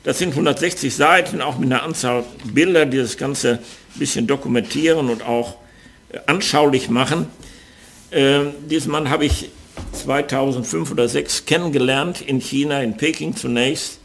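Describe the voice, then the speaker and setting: An older man from the Ruhr area speaking with a Ruhr German accent, preaching an earnest, measured sermon with frequent hesitations. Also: mid-range voice, creaky, a bit bright.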